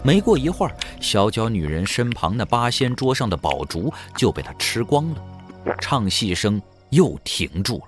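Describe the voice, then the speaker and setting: Low, animated male story narrator. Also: normal speed, faint music behind. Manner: suspenseful storytelling. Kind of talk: dramatic scripted audiobook narration.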